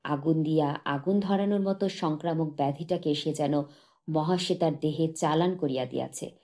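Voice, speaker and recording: A woman narrating a literary short story as an audiobook, reading in a measured, solemn manner. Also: deep voice, dark tone.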